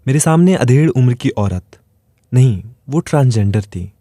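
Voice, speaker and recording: A man's voice: mid-range voice, animated, normal speed, dark tone, no echo, studio quality. A man reading a scripted first-person dramatic story as its narrator.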